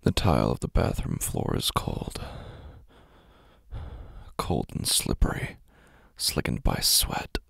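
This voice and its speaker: A man with a gravelly, husky voice, narrating first-person horror in a brooding, deliberate, intense manner, close on the microphone. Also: very deep voice, big pitch swings, a bit bright, no room sound. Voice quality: gravelly, husky, breathy at times.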